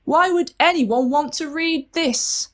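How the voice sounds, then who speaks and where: A woman's voice: sits high, slow pace, pitched above the performer's own voice. A woman voicing a weary, self-doubting older female children's author who muses theatrically to herself in a story. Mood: despairing.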